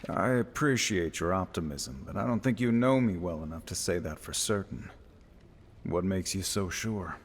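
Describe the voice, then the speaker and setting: A man's voice: sits low, animated, moderate pace, a little hiss or hum. A man playing a brooding, loyal swordsman in a fantasy game, with a dry, understated delivery. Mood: guarded. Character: brooding, loyal wandering swordsman, dryly wry. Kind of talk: cinematic fantasy game acting.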